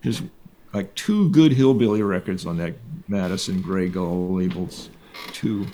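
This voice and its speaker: A man's voice: deep voice, lively intonation, a little slow, dark tone, a little hiss or hum. A man, a musician guest on a radio show, chatting casually about old records.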